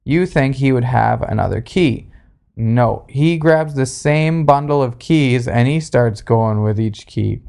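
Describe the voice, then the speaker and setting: A man with a creaky, fry-heavy voice and an average pitch hosts a podcast. He tells anecdotes in a casual, halting manner with a sarcastic streak. He speaks closely into a handheld recorder. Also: darkish tone. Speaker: podcast host. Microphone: handheld recorder, spoken into closely.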